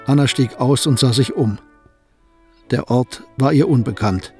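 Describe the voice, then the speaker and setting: A man narrating a historical radio play in a calm, measured storytelling manner. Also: medium pitch, slightly rough, soft background music.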